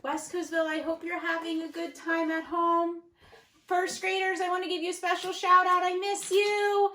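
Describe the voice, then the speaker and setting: A woman teacher giving an expressive picture-book read-aloud for children, dramatic, with gasps, whispers and emphasis. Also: very high voice, normal speed, roomy sound. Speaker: elementary school teacher.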